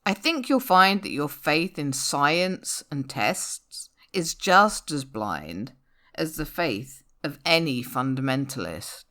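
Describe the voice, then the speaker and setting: A woman's voice: sits low, big pitch swings, slow, fairly bright tone, voice pushed down, full character voice. A woman voicing a smug, self-assured young hippie woman who lectures back in a comic poem. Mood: smug, lecturing.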